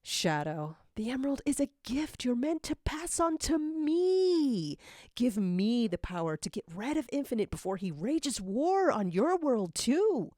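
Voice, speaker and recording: Woman playing dark demon, male, sinister, coaxing, insinuating, dry sound. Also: a little high, very animated, a little slow, no echo, pitched above the performer's own voice.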